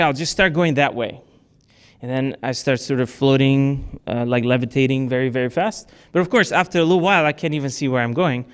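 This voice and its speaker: A man's voice: medium pitch, clear, resonant tone. A man from Portugal giving a talk to a club audience, answering questions in a casual way with many fillers and restarts. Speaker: speaker. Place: club meeting room.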